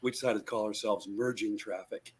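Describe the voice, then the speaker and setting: A man's voice: fairly low pitch, steady tone, brisk. A man in his sixties, a guitarist guest in an interview, telling his story in a rambling way with many fillers and restarts over a video call. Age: 60s.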